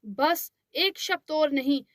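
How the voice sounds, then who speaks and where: A woman's voice: sits high, slow pace, fairly bright tone, dry recording, clean studio sound. A woman voicing a stern mother in a cartoon story, scolding her daughter sharply.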